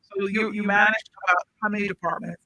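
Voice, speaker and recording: A woman hosting a casual radio interview, chatty and quick to laugh, often restarting her sentences. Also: medium pitch, steady tone, bright, forceful tone, no room sound, rough recording. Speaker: radio talk show host. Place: radio studio.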